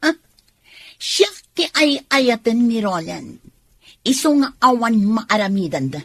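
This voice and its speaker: A haughty radio-drama mistress, defiant and selfish. Her husky voice runs high and swoops widely, and she stretches her words slowly in a dry studio. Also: sing-song, no room sound, full character voice. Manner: haughty, drawn-out dramatic delivery. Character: defiant, selfish mistress clinging to a married man.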